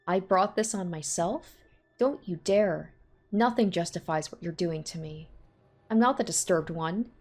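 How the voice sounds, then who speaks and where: A woman's voice: lowish voice, a little slow, a little mellow. A woman voicing a desperate young captive who stammers, pleads and lashes out in a story reading. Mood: angry.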